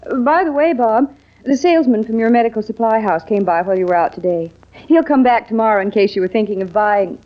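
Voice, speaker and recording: An actress with an American accent playing a tactful, gently teasing woman of the household in an old-time radio family comedy. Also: a little high, lively intonation, fast, natural voice. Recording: muffled old radio broadcast recording.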